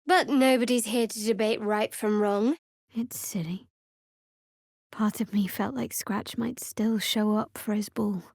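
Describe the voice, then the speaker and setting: An actress playing a guarded, sardonic young woman cleric devoted to a dark goddess in a video game, with dry, wry, measured delivery. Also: medium pitch, steady tone, gravelly, no room sound. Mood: hushed, wistful. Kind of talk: video game character voice lines.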